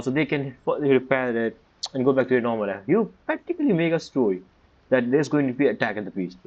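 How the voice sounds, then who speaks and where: Game streamer, medium-pitched voice, casual commentary, scoffing, loose grammar, dull recording. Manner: informal, blunt, scoffing, non-standard grammar. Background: game music.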